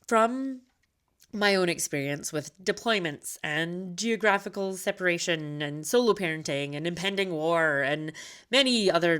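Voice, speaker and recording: Woman with a faint Scottish-American accent, low-pitched. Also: fairly bright tone. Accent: slight Scottish mixed with American. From Scotland. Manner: earnest and candid, conversational. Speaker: podcast host. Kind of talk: personal podcast monologue.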